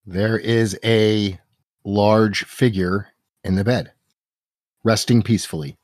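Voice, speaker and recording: A man narrating a tabletop game as game master in a measured style. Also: fairly low pitch, fairly even intonation, darkish tone, no room sound, studio quality.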